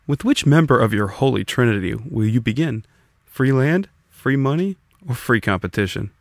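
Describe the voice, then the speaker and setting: A man's voice: mid-range voice, expressive, normal speed, no room sound, clean studio sound. A man voicing a sceptical, combative communist who puts probing questions in a scripted audiobook dialogue. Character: sceptical, challenging communist debater.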